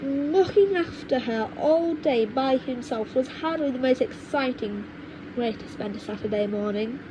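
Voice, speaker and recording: A woman narrating a children's storybook aloud, halting now and then to reread and correct her words on a low-quality home microphone. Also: background noise. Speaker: audiobook reader. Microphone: cheap home microphone.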